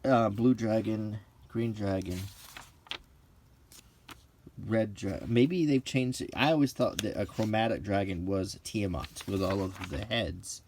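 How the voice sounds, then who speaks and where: A middle-aged man rambling casually in a halting, unhurried way as he browses a book, with dry asides. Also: lowish voice, a little mellow, a little hiss or hum. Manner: unhurried, halting, dryly joking. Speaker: hobbyist video presenter.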